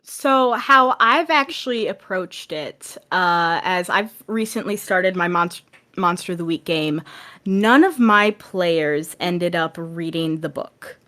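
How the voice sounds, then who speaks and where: A woman's voice: fairly low pitch, expressive, slow, fairly bright tone. A woman speaking as a podcast guest, explaining things in a casual, informal and encouraging way.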